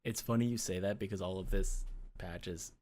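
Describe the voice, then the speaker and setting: Deep-voiced man laughing through casual chat. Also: fairly quick. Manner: laughs often, relaxed and chatty. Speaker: podcast co-host.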